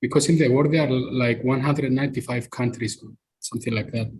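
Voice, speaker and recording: Greek-accented man explaining haltingly. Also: mid-range voice, fairly even intonation, darkish tone, slight background noise, poor audio. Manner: halting, many uh fillers, explaining at length. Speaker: discussion participant. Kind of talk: live podcast group discussion.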